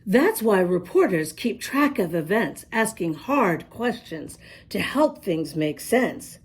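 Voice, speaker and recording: A woman's voice: mid-range voice, sing-song, slow, breathy edge. A woman reading a storybook aloud to young children, explaining as she goes in an emphatic, sing-song manner. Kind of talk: expressive read-aloud to young children with asides.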